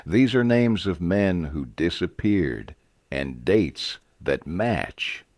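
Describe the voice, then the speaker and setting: Guy doing a brave city bride in a western story, urgent n sharp, voice moves around a lot. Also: low-pitched, lively intonation, takes it slowly, no room sound, full character voice. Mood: determined. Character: brave young city bride, anxious but determined.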